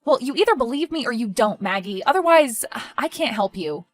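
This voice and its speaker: A woman plays a defensive, exasperated younger woman in a family drama. The voice is fairly high and lively. She sounds indignant, and music plays behind. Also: brisk, lightly acted. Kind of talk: dramatic dialogue acting.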